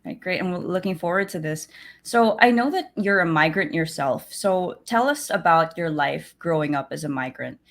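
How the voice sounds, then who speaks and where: A woman's voice: on the low side, lively intonation, normal speed, vocal fry. A woman hosting an interview, introducing her guest and asking questions in a friendly, loose way. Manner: friendly, asks questions in loose chatty runs. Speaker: interview host and reporter. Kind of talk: hosting a news outlet interview.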